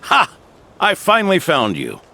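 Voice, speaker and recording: An actor playing a kindly, protective old grandfather knight in a video game, speaking gently and reassuringly. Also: a little high, wildly swooping pitch, measured pace, vocal fry, noisy background, heavily acted. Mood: warm, gently chiding.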